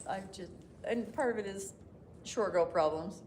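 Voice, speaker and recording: A woman's voice: mid-range voice, unhurried, a little mellow, a little hiss or hum. A woman in her fifties chatting casually, laughing and self-deprecating. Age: 50s. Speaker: crafting group member. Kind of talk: casual chat.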